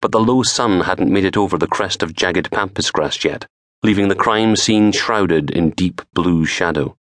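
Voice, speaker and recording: A middle-aged man reading a crime novel aloud in a dramatic, measured storytelling manner. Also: deep voice, fairly bright tone, dry recording, clean studio sound. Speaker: author reading own audiobook. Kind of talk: scripted crime fiction narration.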